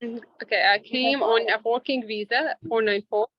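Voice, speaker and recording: Higher-voiced woman, halting English, dull audio. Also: steady tone, no room sound. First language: not English. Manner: halting, searching for words, non-native English. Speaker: interview guest, intern pharmacist. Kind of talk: informal interview answers.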